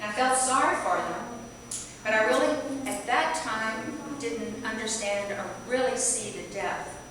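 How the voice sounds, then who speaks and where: A middle-aged woman giving a rambling, chatty guest talk, projecting her voice loudly to a club meeting. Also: fairly high pitch, unhurried, crowd noise. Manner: loud, projecting voice; chatty, rambling, self-deprecating. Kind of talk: informal speech to a club meeting. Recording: echoey hall from far off, audience.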